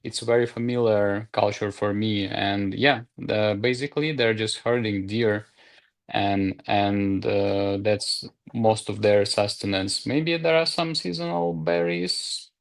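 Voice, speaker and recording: Russian man in a halting chat. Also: lowish voice, gravelly. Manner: friendly, halting with fillers. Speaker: guest on a live stream. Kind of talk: casual chat. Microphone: video call.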